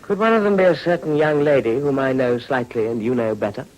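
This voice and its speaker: An actor playing an urbane, world-weary Edwardian gentleman with an upper-class English accent and a dry, epigrammatic manner in a period radio drama. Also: medium pitch, a little fast, close to an everyday voice, rough recording. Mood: dry, teasing.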